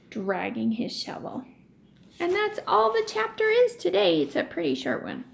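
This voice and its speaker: A woman's voice: high-pitched, gravelly, darkish tone. A woman teacher reading a story aloud to children, stopping to explain and ask questions. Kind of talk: read-aloud lesson for children.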